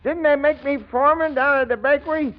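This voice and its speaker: An actor playing a folksy, excitable family father and amateur inventor in a 1940s radio comedy, with homespun blustery speech. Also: very high-pitched, fast talker. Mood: sudden realization. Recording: dull old 1940s radio recording.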